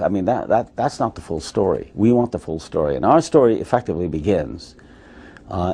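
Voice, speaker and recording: A man giving a documentary interview, speaking in a measured, emphatic way. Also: low-pitched, warm tone.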